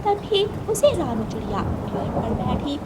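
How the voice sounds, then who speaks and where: A woman's voice: high-pitched, sing-song, unhurried, very noisy. A woman narrator reading a scripted children's story.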